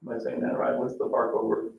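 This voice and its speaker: A mature man thinking aloud in an informal meeting, tentative and halting as he puts questions. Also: medium pitch, completely flat delivery, gravelly. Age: middle-aged or older, a grandfather. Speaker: redevelopment commission meeting participant. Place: meeting room, mic at a distance. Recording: roomy, distant, dull, faint noise.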